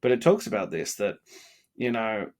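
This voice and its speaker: A man's voice: lowish voice, normal speed, a little mellow. A congested, tired man in his thirties giving an earnest, rambling motivational talk late at night. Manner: earnest, rambling, many fillers. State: congested and sniffly. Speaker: vlogger.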